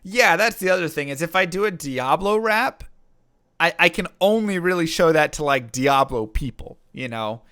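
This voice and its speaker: A man's voice: fairly high pitch, lively intonation, normal speed, bright, forceful tone, clean tone, dry recording, studio quality. A man streaming live, casually answering chat questions and rambling with fillers and restarts. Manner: rambling, lots of fillers and restarts, reads chat messages aloud. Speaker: streamer and rapper.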